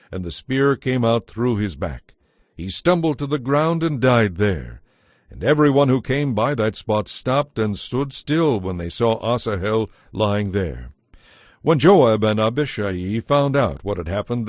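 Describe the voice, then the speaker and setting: A man's voice: medium pitch, animated, unhurried, no echo, studio quality. A man narrating a dramatized audio Bible as a scripted storyteller.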